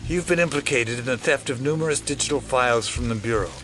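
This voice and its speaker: An actor playing a cynical, world-weary older conspirator, measured, fatalistic and wry, in an audio drama. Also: male voice, mid-range voice, fairly bright tone, natural voice. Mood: matter-of-fact.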